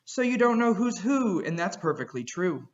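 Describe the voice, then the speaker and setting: A man voicing a slick, fast-talking male salesman with a smooth, clear and keen sales pitch. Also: very animated, dull audio. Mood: persuasive.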